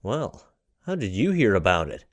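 A man's voice: lowish voice, big pitch swings, normal speed, no room sound. An actor playing a genial, wry male playwright in a radio drama, with an inquisitive manner. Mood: curious.

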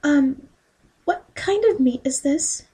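A woman's voice: high voice, lively intonation, slow pace, dark tone. A woman playing a timid, polite and innocent young girl in a fairy tale audio drama, with a naive, hesitant manner. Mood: polite, uneasy.

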